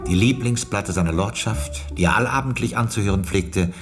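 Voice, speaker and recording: An actor playing a cultured, wry butler who narrates a radio play in a formal, slightly pompous way. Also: male voice, lowish voice, normal speed, music in the background, natural voice. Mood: solemn narrating.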